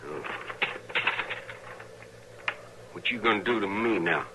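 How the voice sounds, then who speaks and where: An American actor playing a defeated, plaintive captured outlaw in an old-time radio western, with rough, uneducated phrasing. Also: male voice, fairly low pitch, lively intonation, fast, natural voice. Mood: uneasy. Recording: slightly noisy vintage radio recording.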